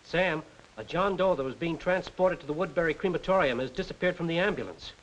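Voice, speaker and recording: A man's voice: fairly high pitch, fairly quick, fairly bright tone, highs cut off, close to an everyday voice. An actor playing a harried, obedient official who reports to his bosses, in a 1940s film. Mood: reporting. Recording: hissy old 1940s film soundtrack.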